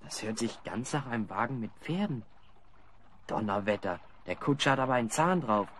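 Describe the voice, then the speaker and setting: A man as a lively, wry adventurer hero. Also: mid-range voice, moderate pace, slight background noise, lightly acted. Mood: curious. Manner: wry, teasing. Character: good-natured, teasing, curious adventurer hero. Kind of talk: radio play acting.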